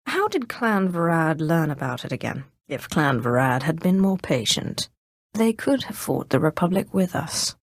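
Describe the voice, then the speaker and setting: A haughty Sith warlord for a video game. Her female voice sits a little low and moves expressively through formal, deliberate commands. She sounds ambitious and imperious, recorded close and dry. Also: dry recording. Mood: cool, calculating. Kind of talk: scripted video game character dialogue.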